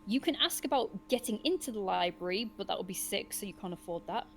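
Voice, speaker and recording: Female storyteller, lively and swooping, for expressive gamebook narration with casual asides; slight noise behind. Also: mid-range voice, fast talker. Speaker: host and narrator of a gamebook session.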